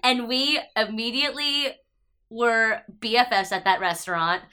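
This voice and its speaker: A young woman co-hosting a casual podcast, telling animated stories and acting out quotes. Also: a little high, unhurried, smooth. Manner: animated storyteller, acts out quotes loudly. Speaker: podcast co-host. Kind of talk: casual chat.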